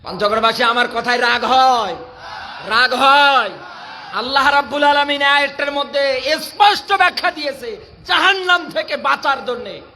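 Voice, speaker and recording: A Bangladeshi man delivers a fiery Islamic sermon at a very high pitch. His voice is extremely sharp and strained with force, declaiming and challenging listeners with questions. It is a live public-address recording with light noise behind him. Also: normal speed. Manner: impassioned, declamatory, often shouting, prodding the crowd with questions. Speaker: Islamic preacher. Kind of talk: fiery outdoor-rally sermon with Quran recitation.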